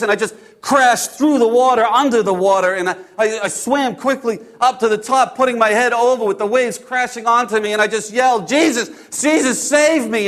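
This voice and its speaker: A man playing an impulsive disciple in a first-person dramatic Bible monologue, halting and repeating his phrases as he swings between hushed and loud delivery. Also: high-pitched.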